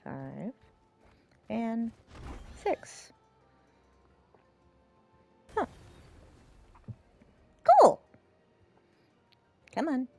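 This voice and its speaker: A woman's voice: on the high side, sing-song, drawn-out words, vocal fry, long pauses, darkish tone. A woman giving casual, reactive let's play commentary, often muttering to herself as a game streamer. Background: game music.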